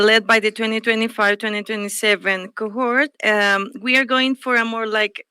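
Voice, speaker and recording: A woman hosts a radio talk program in English as a native Spanish speaker. Her voice is high and clear, with a crisp, forward brightness. The sound is dry and close. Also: no room sound. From El Salvador.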